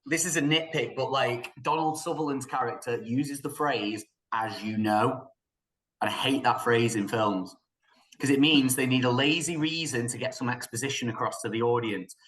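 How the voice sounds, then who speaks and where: British guy on a film podcast, chatty and blunt, normal pitch, loads of opinions. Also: normal speed, a bit bright, echoey room. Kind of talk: casual opinionated film discussion.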